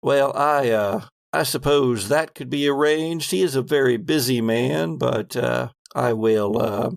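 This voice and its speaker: A smugly dismissive military officer of the company , acted by a man in a tabletop game. He hedges and stammers with condescension, in a slow, creaky delivery. The recording is dry and close. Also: mid-range voice, no room sound. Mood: placating. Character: evasive male military commander serving the company, smugly dismissive.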